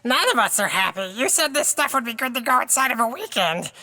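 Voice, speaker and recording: A woman voicing a whiny, disgruntled small creature in a comedic audio drama, complaining squeakily. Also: big pitch swings, brisk, sharp tone, exaggerated voice.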